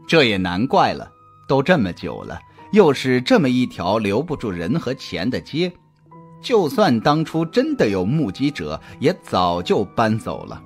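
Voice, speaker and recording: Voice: mid-range voice, wildly swooping pitch, measured pace. An audiobook narrator reading a scripted suspense story. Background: background music.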